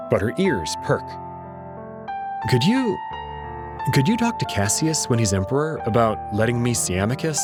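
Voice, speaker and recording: A man playing an uneasy young student who narrates a visual novel in the first person, introspective and hesitant, acting out his own dialogue. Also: mid-range voice. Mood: uneasy, insistent.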